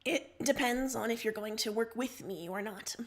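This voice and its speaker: A woman's voice: on the high side, moderate pace, close to an everyday voice. A woman voicing a blunt, impatient young male rebel emperor in a read-aloud story. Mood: curt.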